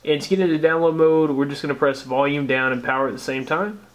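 A man's voice: fairly high pitch, steady tone, quick pace, a little hiss or hum. An American man narrating a casual step-by-step tutorial as a tech host, explaining things informally.

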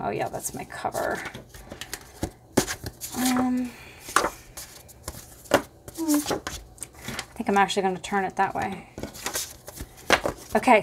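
A woman hosting a casual crafting tutorial at her table, chatty and thinking aloud with lots of fillers, her voice slightly hoarse. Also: medium pitch, background noise.

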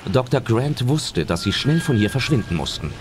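A man's voice: mid-range voice, big pitch swings, moderate pace, noisy background. A man narrating a scripted German audio drama as its storyteller.

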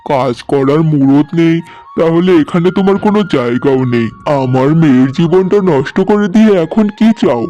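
A man's voice: fairly high pitch, lively intonation, normal speed, warm tone, dull audio, close to an everyday voice. A man playing a stern, protective older father in a cartoon story. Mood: stern, indignant. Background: background music.

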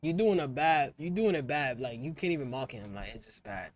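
A man's voice: mid-range voice, lively intonation, a little fast. Brash young man teasing strangers. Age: 20s. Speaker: Omegle video chat host. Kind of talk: casual video chat banter. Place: small dim room with colored lights. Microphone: headset.

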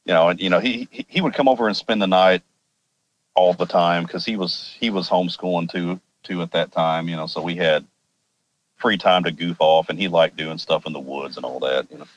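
A man's voice: low voice, brisk, muffled recording. A man from Arkansas with an American accent, casually telling a personal story in an informal, rambling, wry way. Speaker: podcast guest telling personal experiences.

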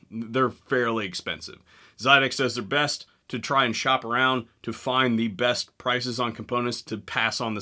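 A man's voice: medium pitch, bright, forceful tone. A man in his thirties hosting a gun channel, talking casually to camera with a wry, joking manner. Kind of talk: casual talk to camera with sponsor read. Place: indoor room with guns on wall behind.

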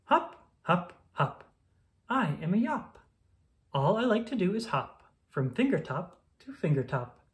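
A woman's voice: fairly low pitch, sing-song, unhurried. A woman voicing a small, cheerful hopping storybook creature with bouncy, repetitive rhyming speech.

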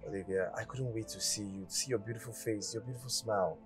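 An actor playing a persistent, moneyed suitor with a Nigerian accent, pleading, flattering and insistent in an earnest screen drama. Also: male voice, on the low side, fast talker, music in the background, natural voice. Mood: reassuring, flattering. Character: persistent, smooth-talking moneyed male suitor.

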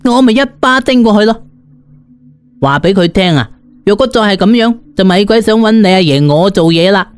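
A man's voice: high voice, lively intonation, moderate pace, clean tone, no echo, soft background music, voice pushed up. A man voicing an arrogant, scornful ancient immortal in a storybook, boastful and impatient. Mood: impatient bragging. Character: arrogant ancient immortal sorcerer calling himself grandpa, scornful. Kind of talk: Cantonese audiobook character voice.

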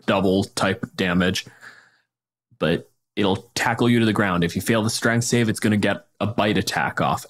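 A man chatting casually as a podcast host, explaining things in a conversational way. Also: lowish voice. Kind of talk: casual tabletop game discussion.

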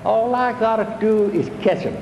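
Older man, fairly high voice, folksy seminar speaker, recorded in a reverberant hall with faint hiss. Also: expressive, normal speed, darkish tone, lo-fi sound. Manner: homespun, repetitive, plainspoken. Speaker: fishing instructor.

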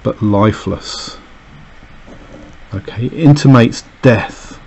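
A man's voice: mid-range voice, slow pace, vocal fry, darkish tone, breathy edge, lo-fi sound. A man teaching a recorded lesson, explaining an extract with many fillers and "okay"s as he annotates it. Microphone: voice-over over a camera filming a page on a desk.

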